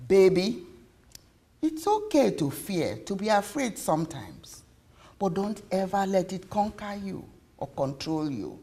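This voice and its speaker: A woman voicing a loving, weary, emotional mother in a story, with pleading, earnest, drawn-out words. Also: mid-range voice, sing-song, mellow. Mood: tender, reassuring.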